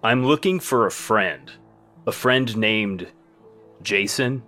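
Brooding mysterious stranger, radio drama. Slow, forceful, grave delivery over music. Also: male voice, on the low side, animated, full character voice. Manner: grave, deliberate, clipped phrases. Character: brooding, mysterious stranger, a self-styled cool businessman. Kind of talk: noir-like comedy radio play acting.

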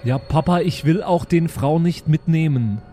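A man voicing a naive, slow-witted alien child who talks hesitantly and full of questions, in an improvised comedy audio drama. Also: on the high side, mellow, close to an everyday voice. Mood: naive, questioning.

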